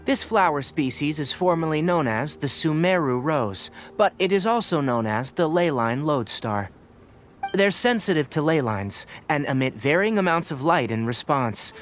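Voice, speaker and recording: An actor playing a calm, scholarly young male researcher in a video game, articulate and measured as he explains. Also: fairly high pitch, slight background noise. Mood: calm, analytical.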